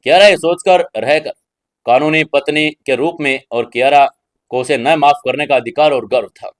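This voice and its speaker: A man's voice: a little high, normal speed, no room sound. A man narrating a scripted audio drama serial in a storytelling style.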